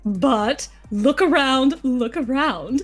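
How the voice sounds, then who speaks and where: A woman's voice: high-pitched, lively intonation, slow, heavily acted. A woman voicing a confident, flirty cartoon bat, teasing and theatrical as she reads lyrics. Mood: playful, teasing. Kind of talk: playful character reading of musical lyrics. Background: music.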